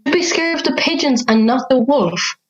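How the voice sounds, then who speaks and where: A fairy-tale schoolgirl in an audio drama, played by a young woman, teasing and self-conscious. Her voice sits fairly high and comes through slightly lo-fi, with a questioning, chatty delivery. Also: expressive, moderate pace, faint music behind. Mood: sceptical. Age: young adult.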